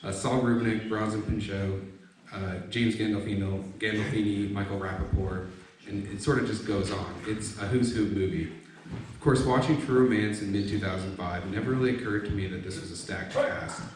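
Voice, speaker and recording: Low-voiced man reading in a very echoey room. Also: fairly even intonation, normal speed, darkish tone. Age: 30s. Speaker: essayist presenting his own writing. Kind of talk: reading an essay aloud with spoken asides. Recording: very echoey room, distant mic, faint noise.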